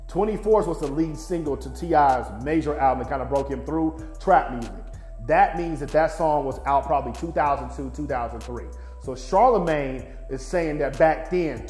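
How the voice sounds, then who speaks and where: An American man speaks in a somewhat high-pitched voice, explaining things bluntly and emphatically with slang and swearing. The room adds clear echo, and music plays underneath. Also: a little mellow. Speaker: music promoter and video host. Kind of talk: opinionated explainer to camera. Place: small indoor office studio.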